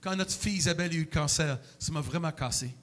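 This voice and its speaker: A middle-aged man, preaching a sermon in a church with emotional, animated delivery and sudden outbursts. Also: fairly high pitch, fast talker. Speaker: pastor.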